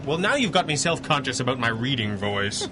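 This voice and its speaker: An actor as a composed, formal radio superhero. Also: male voice, mid-range voice, big pitch swings, background noise, natural voice. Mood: dry, teasing. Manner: formal, measured, gently dry. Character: composed, earnest masked superhero boss, a man. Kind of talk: 1930s radio drama acting.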